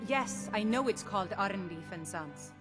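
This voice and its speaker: A woman's voice: mid-range voice, lively intonation, measured pace, natural voice. An actress voicing a fretful, plain-spoken widowed shopkeeper in a video game, with game music behind her. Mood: weary. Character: weary widowed shopkeeper woman, struggling.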